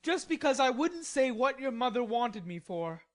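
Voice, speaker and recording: Indignant, defiant young gentleman in a storybook, put on by a woman; higher and tenser than her own voice. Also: sing-song, normal speed. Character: hot-tempered proud young gentleman.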